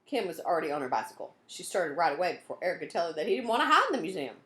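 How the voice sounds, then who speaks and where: A woman reading a children's book aloud in an expressive storytelling style. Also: on the low side, racing, reverberant. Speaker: storybook reader.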